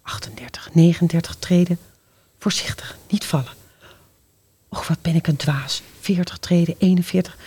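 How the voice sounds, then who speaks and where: An actress playing a frightened young woman walking alone at night, with a breathy voice and an anxious, often whispered inner monologue. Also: on the low side, expressive, moderate pace, a little mellow. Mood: tense whisper. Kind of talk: radio drama acting.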